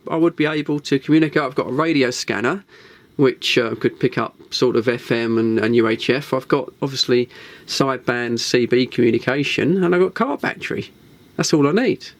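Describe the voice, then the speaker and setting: An Englishman chatting casually and unscripted to viewers as a video host, rambling and thinking aloud, repeating himself and tagging questions on the end. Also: fairly high pitch. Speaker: video host and CB radio hobbyist. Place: indoors in a small radio shack.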